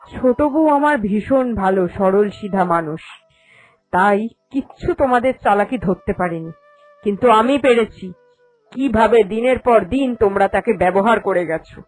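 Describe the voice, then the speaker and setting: A woman's voice: medium pitch, lively intonation, moderate pace, darkish tone, lightly acted, rough recording. A woman voicing a stern, cunning elderly mother-in-law in a cartoon story, with an authoritative, accusing manner. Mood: knowing reproach. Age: older. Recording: voice over background music.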